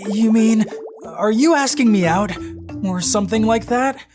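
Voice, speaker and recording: A woman's voice: fairly high pitch, measured pace, lightly acted. A woman voicing a flustered young male office worker in a manga dub, exclaiming and muttering his inner thoughts. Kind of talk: manga dub narration and acting. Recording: faint background music.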